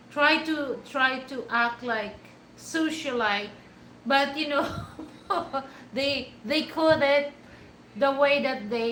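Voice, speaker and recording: Slow-talking Filipino-accented woman vlogger. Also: fairly high pitch, fairly bright tone, echoey room, slight background noise, rough recording. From Philippines. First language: Hiligaynon. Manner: rambling, many restarts and fillers. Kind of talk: casual talk to camera.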